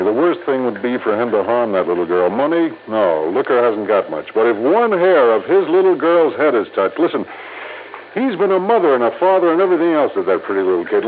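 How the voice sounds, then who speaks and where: An actor playing a gruff, suspicious police lieutenant in a 1950s radio drama, with a blustery, insistent manner. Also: male voice, mid-range voice, close to an everyday voice. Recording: tinny, noisy old radio recording.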